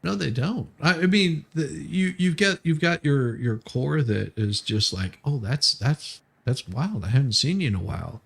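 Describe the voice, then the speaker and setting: A man with a gravelly, mid-pitched voice talks casually on a livestream. He explains haltingly, circling back and repeating words as he goes. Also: expressive, a bit bright. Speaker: live streamer, co-host.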